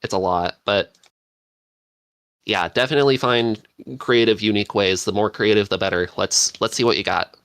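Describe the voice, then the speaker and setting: A man talking casually and enthusiastically as a podcast panelist, quick to agree with the others. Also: on the low side, a bit bright, clean tone, no echo.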